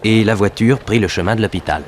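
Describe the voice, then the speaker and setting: A man narrating a 1960s French radio detective serial in a formal, measured, sober way. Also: fairly low pitch, a little hiss or hum, natural voice.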